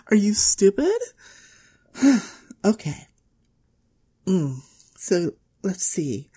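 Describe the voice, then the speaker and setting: A woman acts out a catty, self-adoring young blonde popular girl in an audio roleplay. Her valley-girl drawl is slow and breathy. The pitch leaps up and down in a very animated way, with airy, ditzy phrasing throughout. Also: full character voice. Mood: hushed, curious. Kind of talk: first-person audio roleplay monologue.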